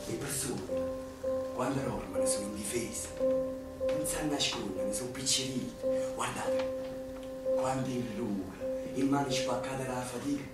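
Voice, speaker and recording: An actor playing a young Neapolitan man who jokes and exclaims loudly while sheltering from air raids, with a Neapolitan accent. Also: medium pitch, big pitch swings, unhurried, pauses often. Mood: tender. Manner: loud, exclaiming, jesting. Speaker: stage actor. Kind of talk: theatrical radio play acting. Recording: echoey distant stage recording with music.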